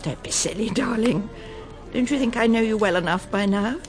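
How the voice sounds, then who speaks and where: An actress playing a prim, self-satisfied, controlling middle-aged English wife in a radio drama, brisk and genteel. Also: female voice, on the high side, faint music behind, lightly acted. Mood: smug. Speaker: lead actress and narrator. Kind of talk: radio drama acting with inner monologue.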